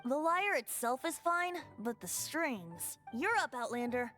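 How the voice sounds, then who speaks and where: Whimsical youthful male bard, high voice, lively swings, game music underneath. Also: a little slow, full character voice. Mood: reassuring. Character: playful youthful male wind-spirit bard, breezy and theatrical. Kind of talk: anime video game voice acting.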